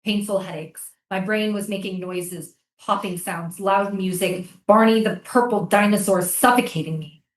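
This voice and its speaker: A woman's voice: medium pitch, natural voice. Woman acting haunted, devoted mother, echoey room. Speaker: actor in a staged reading. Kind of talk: staged play reading.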